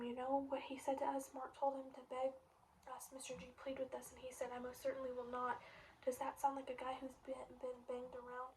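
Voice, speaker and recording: A woman's voice: sits high, a little mellow, far from the mic, a little hiss or hum, poor audio. A woman reading as a frantic teenage boy. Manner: urgent, insistent. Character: panicked teenage boy, desperate and pleading.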